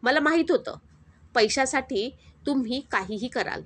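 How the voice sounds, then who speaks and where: A woman's voice: high voice, expressive, moderate pace. A woman voicing a rude, mocking rich young woman in a storybook narration. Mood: scornful.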